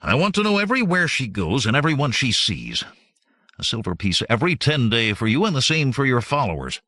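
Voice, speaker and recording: A man's voice: mid-range voice, very animated, a little fast, bright, forceful tone, no room sound, clean studio sound, pitched above the performer's own voice. A man voicing a cocky, teasing young male barbarian thief in an audiobook, smug and assured. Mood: brisk, commanding.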